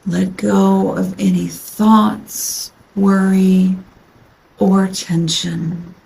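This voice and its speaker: A woman guiding a yoga nidra meditation in a soothing, unhurried manner with long pauses, her phrases trailing into breathiness. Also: medium pitch, level tone, gravelly, dark tone, slight background noise, poor audio. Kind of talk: guided meditation.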